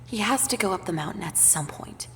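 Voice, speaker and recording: An actress playing a weary, sardonic small-town tabloid reporter, muttering dryly in an audio drama. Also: female voice, medium pitch, animated, a little fast, gravelly, pushed and bright, husky, heavily acted. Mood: frustrated.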